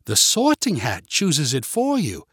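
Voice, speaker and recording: Guy doing a prim british teacher lady, earnest, lecturing, pitched up, very swoopy. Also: huge pitch swings, a little slow, a bit bright, husky, dry recording, studio quality, heavily acted. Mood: stern. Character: earnest young woman, prim British schoolteacher act, easily flustered.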